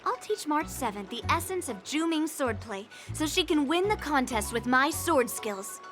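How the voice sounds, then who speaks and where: High-voiced, haughty young swordswoman. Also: animated, normal speed, fairly bright tone. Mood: smug. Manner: haughty, blunt. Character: proud, competitive young swordswoman girl. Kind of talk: game cutscene acting. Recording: prominent music behind the voice.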